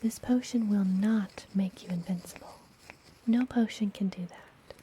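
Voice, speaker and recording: A woman playing a friendly, mysterious witch healer in a whispered ASMR fantasy roleplay, soothing and gently teasing. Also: mid-range voice, slight background noise, lightly acted. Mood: calm, instructive. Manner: soothing, unhurried, softly whispered.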